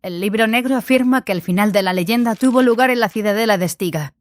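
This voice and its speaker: A woman's voice: medium pitch, quick pace, dry recording, close to an everyday voice. A woman playing an inquisitive, defiant young adept in an audiobook drama, earnest and questioning. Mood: earnest, curious.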